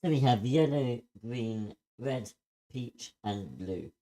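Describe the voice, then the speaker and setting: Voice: medium pitch, animated, takes it slowly, mellow. A participant helping to run an online group session, talking in a casual, practical way.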